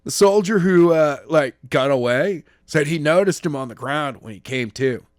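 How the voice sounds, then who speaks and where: A man's voice: normal speed, no room sound, studio quality, lightly acted. A man reading a novel aloud, voicing a brash villain in a tie who calls everyone bro. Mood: cocky. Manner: laid-back slangy drawl, pitched up. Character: brash surfer-talking man in a tie, always saying bro.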